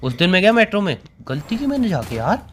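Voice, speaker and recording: A young man in his twenties bickering jokingly with his girlfriend, playfully exasperated and quick to argue. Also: sits high, very animated, a little hiss or hum. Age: 20s. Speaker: boyfriend in a comic prank chat. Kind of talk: casual joking argument.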